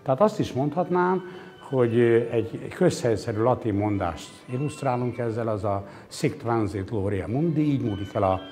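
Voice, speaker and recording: A man's voice: medium pitch, expressive, a little mellow. A man, explaining things reflectively in an interview, philosophizing with hesitations and emphatic points. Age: 60s. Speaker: exhibition curator interviewed. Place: indoor exhibition gallery. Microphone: lapel mic. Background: background music.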